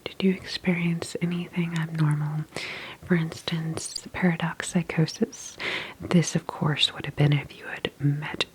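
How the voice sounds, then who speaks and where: A woman whispers softly in a low-pitched, breathy voice, playing a calm sci-fi clinic specialist for ASMR. She takes her time with every phrase, sounding gentle, attentive and professionally reassuring. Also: measured pace, low-quality recording. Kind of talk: ASMR soft-spoken roleplay.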